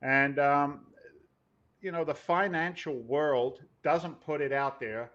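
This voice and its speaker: A man with a loud, emphatic voice co-hosts a casual investing show, blunt and quick to swear. He leans hard on his key points. The recording sounds a bit dull and lo-fi. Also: on the high side. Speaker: podcast co-host.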